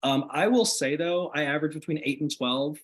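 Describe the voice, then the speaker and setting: A man talks at a rapid clip in a somewhat high voice, his tone a little hoarse as he recovers from illness. He speaks energetically and motivationally, leaning on casual "like" fillers, heard through a video call microphone. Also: a bit bright. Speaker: guest sharing business tips.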